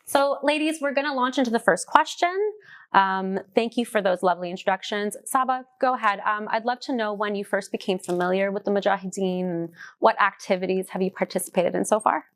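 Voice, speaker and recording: A woman's voice: medium pitch, fairly quick. A woman leading a panel discussion as host, with a middle-range voice. She reads friendly introductions and questions, with the odd filler.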